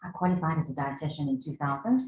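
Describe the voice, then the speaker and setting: A woman's voice: deep voice, expressive, quick pace, a little mellow, a little hiss or hum. A woman in her forties with a South African accent, giving a conversational wellbeing keynote to a conference of doctors and asking the audience questions. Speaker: wellbeing speaker. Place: conference hall. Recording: echoey hall, faint ambience.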